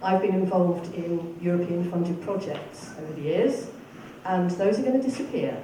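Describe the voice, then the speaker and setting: A council candidate in a debate, speaking in a measured, explanatory way. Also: medium pitch, dark tone. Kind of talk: debate speech. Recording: echoey hall, far from mic, noise.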